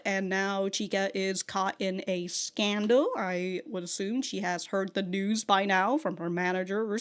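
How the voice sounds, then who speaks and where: A woman's voice: medium pitch, a bit bright. A woman chatting casually and unscripted as an online reviewer, rambling and circling back over her phrases, with some casual swearing. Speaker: YouTube anime and manga reviewer.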